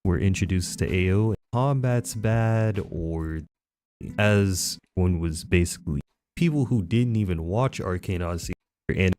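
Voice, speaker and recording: A man narrating a gaming video in one flat, monotonous way. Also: low voice, measured pace, a little hiss or hum.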